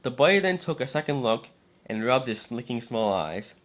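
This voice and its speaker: A man's voice: medium pitch, big pitch swings, moderate pace, fairly bright tone. A man narrating a scripted story as an amateur dramatic reading, sometimes stumbling over and repeating words.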